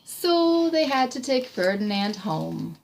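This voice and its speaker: A woman's voice: a little high, very animated, takes it slowly, vocal fry, slight background noise. A woman reads a storybook aloud and discusses it in an encouraging, explanatory way. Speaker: reading tutor reading aloud to a child. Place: small room indoors.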